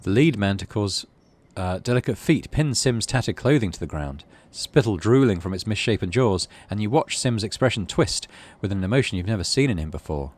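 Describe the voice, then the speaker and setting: Male let's player, low register, animated delivery, casual thinking-aloud commentary mixed with story reading. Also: a little fast, hardly any pauses. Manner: thinks aloud while playing. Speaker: gaming channel host playing a visual novel.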